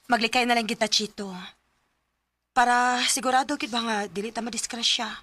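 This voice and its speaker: A woman's voice: on the high side, steady tone, brisk, pushed and bright. A woman playing an earnest, anxious schoolgirl in love in a radio drama, with a Cebuano Visayan Filipino accent. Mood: hesitant. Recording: very noisy radio drama recording with clicks.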